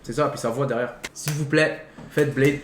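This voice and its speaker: A man's voice: medium pitch, steady tone, normal speed. A man co-hosting a casual film talk, rambling informally in slangy, half-finished sentences. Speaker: co-host of a film talk show. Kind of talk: casual film debate between friends. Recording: echoey room with faint background noise.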